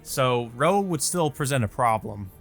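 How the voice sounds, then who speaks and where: A man's voice: medium pitch, animated, a little slow, faint music behind. A man hosting a let's play, reading a visual novel aloud and chatting about it in a casual, rambling way full of fillers. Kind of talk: visual novel read-through with commentary.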